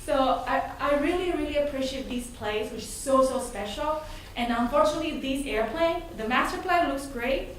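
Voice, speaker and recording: A high-voiced woman from Argentina speaks at a public hearing, earnest and halting, often restarting mid-sentence. She is picked up from a distance in a very echoey hall. A light hiss sits under her voice. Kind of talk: public comment at a town meeting. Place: large echoey meeting hall. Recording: echoey hall, distant mic, some noise.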